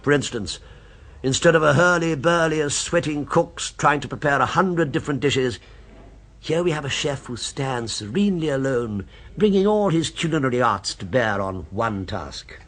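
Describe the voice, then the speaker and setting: In a vintage radio drama, a refined gourmet with a fixation on one restaurant. He sounds precise and insinuating, with a lively, rising and falling delivery and a slightly husky edge. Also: medium pitch, moderate pace. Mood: knowing, earnest. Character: refined man obsessed with a restaurant. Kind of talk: 1970s radio drama acting. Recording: old radio broadcast recording.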